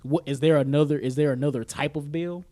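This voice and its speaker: A man co-hosting a podcast in casual chat, halting and questioning as he thinks aloud. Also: a little high, fairly even intonation, warm tone. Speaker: podcast co-host.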